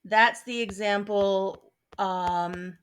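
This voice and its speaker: A woman in her thirties, a teacher, explaining a lesson in a relaxed, chatty way, with frequent ums and small asides. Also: mid-range voice, drawn-out words, clear, resonant tone. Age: 30s. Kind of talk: recorded online lesson. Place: at home, seated at a desk.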